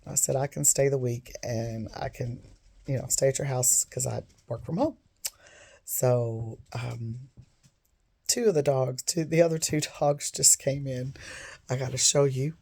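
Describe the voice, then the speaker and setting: A woman in her fifties talks to camera from home, her voice froggy and hoarse from a cold. Her tone is dark and mellow, with a rough gravel underneath. She rambles earnestly and keeps drifting into side stories. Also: very deep voice, fairly even intonation, a little slow. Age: 50s. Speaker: vlogger. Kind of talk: casual storytelling to camera.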